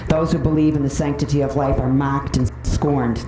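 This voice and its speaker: A woman's voice: extremely low pitch, steady tone, normal speed. Roomy, distant recording of an opinionated woman speaking. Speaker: public commenter at a county board meeting. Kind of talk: prepared public speech. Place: indoor public meeting room. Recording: roomy, noisy, distant microphone with clicks.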